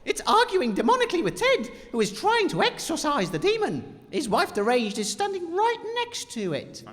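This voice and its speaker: A woman playing an excitable, easily frightened radio reporter in a comic audio drama, giving earnest live commentary. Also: very high voice, moderate pace, big-room sound, exaggerated voice. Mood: alarmed. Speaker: radio presenter.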